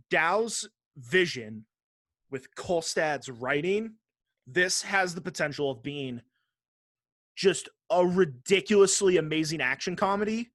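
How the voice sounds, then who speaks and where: A man with a slightly high-set voice talks as a podcast host. He is casual and slangy, swears freely and states opinions with emphasis. The sound is dry and close. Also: a bit bright, no echo. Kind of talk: casual podcast chat about movies and games.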